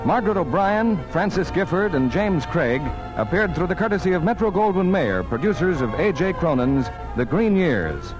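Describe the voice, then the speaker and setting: A male announcer from forties radio reads scripted copy over music. His voice sits fairly high and moves with lively intonation. The delivery is crisp and persuasive. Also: rough recording.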